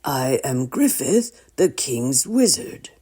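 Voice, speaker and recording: A woman voicing a tall old male royal wizard in a storybook, calm and commanding, with a measured, solemn delivery. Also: a bass voice, slightly rough, heavily acted.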